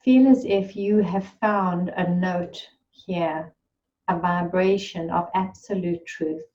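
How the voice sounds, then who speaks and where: A woman leading a guided meditation in a gentle, soothing, unhurried way. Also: lowish voice, fairly even intonation, muffled recording. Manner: slow, soothing and unhurried, with long pauses.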